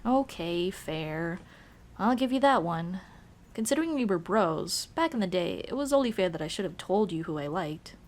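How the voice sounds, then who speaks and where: A woman playing a young tomboy childhood friend in an ASMR roleplay, speaking in casual boyish slang with hesitant pauses. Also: on the low side, expressive, a little hiss or hum, close to an everyday voice. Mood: flustered, hesitant. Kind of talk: ASMR roleplay, intimate one-sided dialogue.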